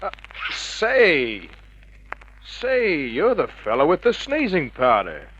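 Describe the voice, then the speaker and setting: A hardboiled private eye in a vintage radio crime play. His voice is bright and forceful, the delivery terse, cocky and slangy, on a dull old recording. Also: a little high, huge pitch swings, slow, breathy edge, exaggerated voice. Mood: cool, wry. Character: tough, wisecracking male private detective.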